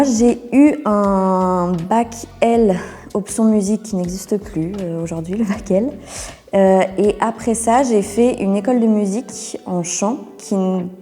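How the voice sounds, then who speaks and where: A woman's voice: on the low side, dark tone, long reverb tail. A woman of twenty-nine giving a casual, candid testimonial interview in informal, colloquial speech. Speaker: interviewee, communications officer and freelancer. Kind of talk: casual testimonial interview, talking about own career.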